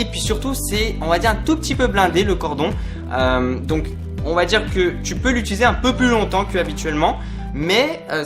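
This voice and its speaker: Tech vlog host, casual product review, conversational and explanatory, medium pitch, clear background music. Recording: music behind the voice.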